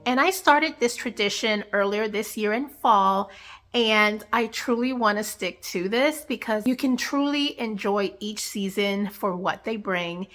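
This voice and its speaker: A woman's voice: mid-range voice, unhurried, fairly bright tone, faint music behind. A young woman with a congested, nasal voice, chatting cheerfully and casually to camera in a home vlog. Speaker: vlogger.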